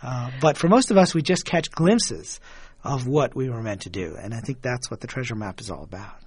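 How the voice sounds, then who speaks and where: A middle-aged man from Michigan, a guest telling reflective stories in a relaxed way, chuckling now and then and repeating words as he starts a thought. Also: mid-range voice, a little fast, breathy edge, dry recording. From Michigan, USA. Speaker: guest, author and engineer. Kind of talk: reflective conversational storytelling.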